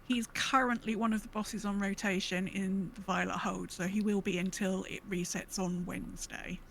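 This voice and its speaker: A woman's voice: medium pitch, normal speed, gravelly, slight background noise. A woman co-hosting a gaming podcast in casual chat, rambling and breaking into her own sentences with quoted asides. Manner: rambling, self-interrupting, animated retelling with quoted asides. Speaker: podcast co-host.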